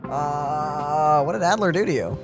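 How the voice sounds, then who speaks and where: A woman's voice: low voice, a little slow. A woman co-streaming a let's play, trading casual banter and reading game dialogue aloud. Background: game music.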